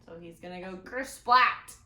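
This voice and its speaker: A woman hosting a children's storytime, reading aloud expressively and pausing to explain things and ask the children questions. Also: mid-range voice, pushed and bright. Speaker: children's librarian, storytime host. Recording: roomy echo, some background noise.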